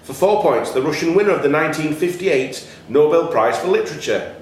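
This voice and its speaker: A man working as a quiz host, reading questions aloud in a deliberate, enunciated way. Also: on the high side, animated. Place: echoey indoor room at a cricket club. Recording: very echoey room, faint background noise.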